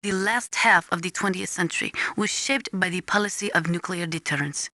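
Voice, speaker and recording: A woman playing an earnest nuclear weapons analyst in a video game, explaining things in a measured, precise, didactic way. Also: lowish voice, sharp tone, no room sound, studio quality. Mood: measured, informative. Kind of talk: scripted expository video game voice acting.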